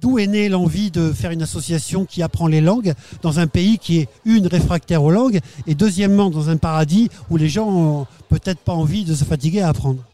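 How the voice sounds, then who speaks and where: A man's voice: a little high, mellow. A man hosting a radio interview at an associations fair, joking and bantering with his guests. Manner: jokey, quick banter. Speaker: radio host.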